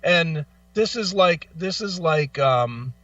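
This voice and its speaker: A man's voice: on the high side, animated, slow pace, bright, forceful tone, no room sound, recorded from a distance, muffled recording. A man co-hosting a radio show in casual, opinionated chat, blunt and freely swearing. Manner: blunt, profane.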